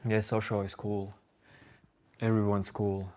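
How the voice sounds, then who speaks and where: A man whose first language is Latvian, calmly answering viewers' chat questions on a live stream. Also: fairly low pitch. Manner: calm, unhurried replies to chat. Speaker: livestream host answering chat.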